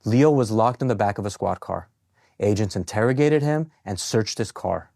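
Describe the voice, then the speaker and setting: A man's voice: lowish voice, normal speed, gravelly, a little mellow, dry recording. Earnest man narrating a legal case, dry sound. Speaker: legal advocate. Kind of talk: scripted narration.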